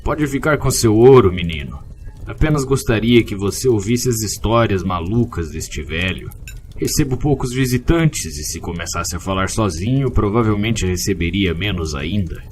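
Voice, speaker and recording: A man's voice: on the low side, lively intonation, moderate pace, a little hiss or hum, close to an everyday voice. A man playing a kindly, lonely old innkeeper, telling tales with theatrical phrasing in an audio drama. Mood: welcoming. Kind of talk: dramatic fairy-tale narration in an audio drama.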